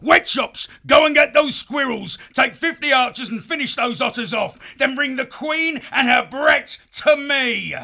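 Sharp, creaky, cruel foxwolf warlord. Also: male voice, fairly high pitch, very animated, full character voice. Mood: barking orders. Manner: slow, sneering, swinging between hushed and barked. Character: cruel male foxwolf warlord, menacing, gloating. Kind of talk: audiobook drama acting.

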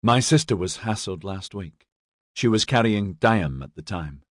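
A man voicing a young woman constable in an audiobook, sharp yet caring, with a reproachful manner. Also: lowish voice, lively intonation, a little slow, no room sound, studio quality. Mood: wistful. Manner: reproachful, interjecting.

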